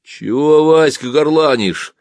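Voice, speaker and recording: A man voicing a gruff, folksy peasant soldier on sentry duty, with blunt rustic speech in an audiobook. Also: mid-range voice, animated, moderate pace, dry recording, voice pushed up. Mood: cross.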